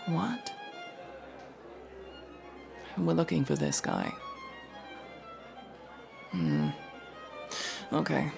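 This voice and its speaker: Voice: mid-range voice, gravelly, heavily acted. A performer voicing a guarded, sardonic masked elf rogue in a casual ASMR roleplay, speaking to the listener with hesitant asides. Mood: dry, disdainful. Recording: music playing behind the voice.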